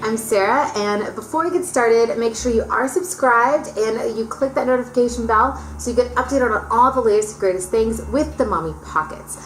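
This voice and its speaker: A woman's voice: on the high side, moderate pace, hardly any pauses, roomy sound, over music, poor audio. A woman of thirty, chatting upbeat to camera as a mom vlogger, laughing often. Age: 30s. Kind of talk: casual chat to camera.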